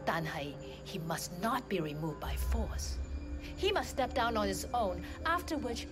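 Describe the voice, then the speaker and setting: Woman acting cold crime boss lady in a game, slow deliberate menace, music behind her. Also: medium pitch, animated, close to an everyday voice. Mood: calm, calculating. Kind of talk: dramatic video game cutscene acting.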